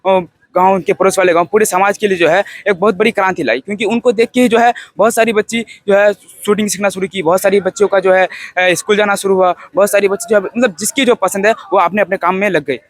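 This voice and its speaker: A young man speaks in a notably high voice, recorded dry and close to echo-free. He gives an informal spoken review, earnest but halting, circling back over his phrases as he builds each point. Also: fairly even intonation. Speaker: film festival participant.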